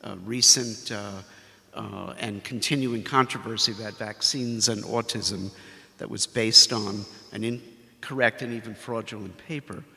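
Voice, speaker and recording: A man, a professor, speaking at a ceremony in a halting way full of fillers. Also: on the low side, fairly even intonation, gravelly, pushed and bright. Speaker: professor, faculty mentor. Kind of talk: ceremony speech. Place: library ceremony hall. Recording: long hall reverb.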